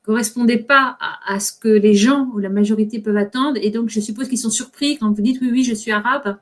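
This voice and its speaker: Woman running an online workshop, polite, a bit high-pitched. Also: a little fast. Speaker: workshop host. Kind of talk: moderating an online discussion.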